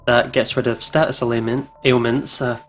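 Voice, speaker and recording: A man's voice: mid-range voice, level tone, moderate pace, faint music behind. A man co-hosting a let's play, chatting casually and reacting to a game in a jokey, slangy way.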